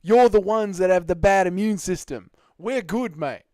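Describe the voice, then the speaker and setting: A young Australian man hosting a live stream, chatting with viewers in excitable, slangy, sweary banter. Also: high voice, normal speed, no echo. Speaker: streamer host.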